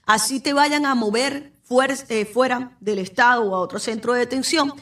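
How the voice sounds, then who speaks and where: A woman's voice: fairly high pitch, normal speed, fairly bright tone. Venezuelan woman lawyer explaining from afar. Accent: Venezuelan Spanish. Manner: explanatory, direct, speaks to the listener. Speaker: immigration lawyer, podcast host. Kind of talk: informative solo talk. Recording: voice somewhat far from the mic.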